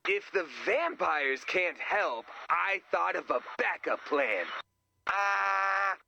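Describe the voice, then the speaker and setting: A man voicing an excitable, earnest and nervous sidekick in a video game, with a goofy manner. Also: high-pitched, takes it slowly, vocal fry, a little hiss or hum, full character voice. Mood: eager, chatty.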